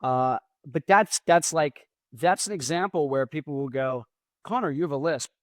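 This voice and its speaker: A man's voice: on the high side, no echo. Guy rambling on his podcast, mumbly, s's kinda drag, dry close sound. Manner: mumbles words, slightly drawn-out S sounds; rambling, self-deprecating. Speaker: podcast host. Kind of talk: casual solo monologue.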